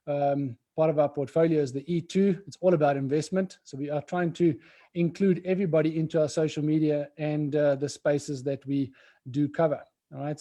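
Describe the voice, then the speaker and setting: Rambling man, dark voice. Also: fairly high pitch. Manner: rambling, many fillers and restarts. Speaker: co-host of an immigration webinar. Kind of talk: casual livestream hosting.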